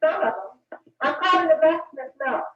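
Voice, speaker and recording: A brisk small-town woman relays urgent calls in an old radio western. Her high voice comes through a muffled, noisy recording. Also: fairly bright tone, reverberant.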